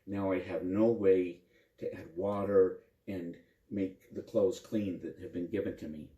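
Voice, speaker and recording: A man's voice: sits low, fairly even intonation, a little slow, dark tone, pitched below the performer's own voice. A man voicing a humble village washerman in a children's folktale, earnest and plain-spoken. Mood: upset. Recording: roomy echo, distant microphone.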